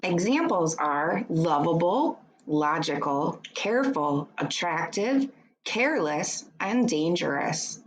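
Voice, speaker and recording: A woman reads an educational children's book aloud like a teacher, in a deliberate, instructive way, adding short explanations for young pupils. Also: on the low side, lively intonation, low-quality recording.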